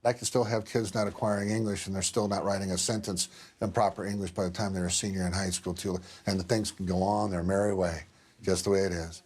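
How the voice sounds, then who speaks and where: A man's voice: deep voice, steady tone, quick pace, darkish tone. A man answering interview questions as a school superintendent, with blunt, folksy phrasing.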